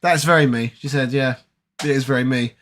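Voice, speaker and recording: A British man with a tired, raspy voice, chatting casually on a live stream and reading viewer comments aloud. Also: mid-range voice, a little fast, fairly bright tone. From Britain. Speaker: retro gaming streamer.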